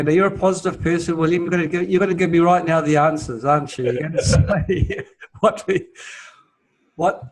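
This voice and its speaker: A man's voice: a little high, rough recording. A man hosting the conversation, prompting his guest in a friendly way with short acknowledgements. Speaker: host. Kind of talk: interviewing.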